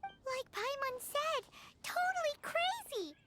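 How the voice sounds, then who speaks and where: Video game fairy sidekick: childlike, exclamatory, sky-high pitch that jumps around a lot. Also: female voice, sing-song, slow, a bit bright, slight background noise, exaggerated voice. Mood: alarmed. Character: small childlike excitable fairy companion, speaks in third person. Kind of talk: animated video game dialogue.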